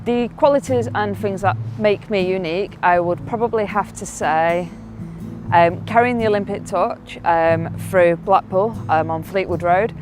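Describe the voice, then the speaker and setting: A woman's voice: mid-range voice, unhurried. A woman from Manchester, talking in a chatty, upbeat way in a documentary interview. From Manchester, England. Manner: chatty, upbeat, self-described jolly. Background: music.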